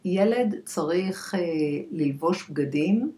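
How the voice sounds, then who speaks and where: An Israeli woman speaking as an expert guest in a conversational podcast interview, halting and repeating words for emphasis as she searches for phrasing. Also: fairly low pitch, lively intonation, warm tone. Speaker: guest expert, clinical dietitian. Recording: echoey room.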